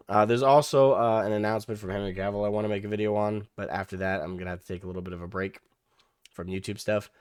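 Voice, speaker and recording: A young man hosting a reaction video, losing his voice and hoarse, rambling casually with many restarts and the odd swear. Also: on the low side, steady tone, fast, no echo, clean studio sound.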